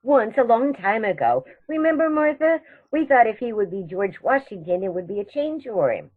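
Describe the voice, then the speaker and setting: A woman's voice: on the high side, sing-song, normal speed, phone-quality sound. A woman playing a sweet, genteel, chatty elderly aunt in a radio comedy. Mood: sweet, confiding. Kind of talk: radio comedy theatre acting.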